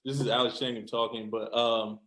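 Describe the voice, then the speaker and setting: A man's voice: mid-range voice, little pitch movement, a little fast, a bit bright. A young man from Cameroon, a football player, chatting casually as a podcast guest with lots of fillers and restarts. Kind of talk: casual interview. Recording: roomy echo.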